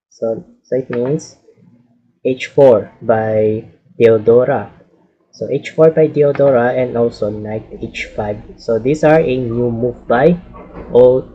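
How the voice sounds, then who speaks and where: A man commentating a chess game with a hoarse voice from a cold, speaking halting English full of repeated filler phrases. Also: mid-range voice, mellow. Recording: dull lo-fi audio.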